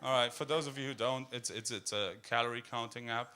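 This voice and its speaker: A man with an Austrian accent doing a stand-up set in a deadpan, darkly humorous way, full of fillers and hesitations. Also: mid-range voice, fairly quick, slight background noise. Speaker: stand-up comedian. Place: comedy club stage.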